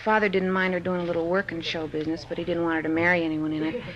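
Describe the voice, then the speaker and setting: An actress playing a chatty, guileless young witness in a 1950s radio crime drama, casual and matter-of-fact. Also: female voice, lowish voice, flat delivery, very fast, muffled recording, lightly acted.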